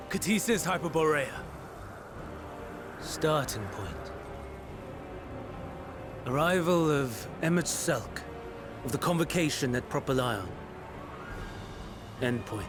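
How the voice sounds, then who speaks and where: A fairly high male voice for a grave, troubled overseer in a fantasy game. He speaks slowly and formally, with deliberate pauses and cold resolve, as music plays behind him. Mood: commanding, measured. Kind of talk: dramatic video game acting.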